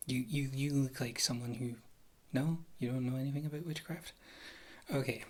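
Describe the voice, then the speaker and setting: Woman acting as witch healer, soft-spoken, frequent whispering, wry, rambling, casually reassuring, ASMR fantasy roleplay, noisy close recording. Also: very deep voice, steady tone, moderate pace. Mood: chatty, reassuring. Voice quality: soft, often slipping into a whisper. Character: wry, thrifty witch healer, a former adventurer.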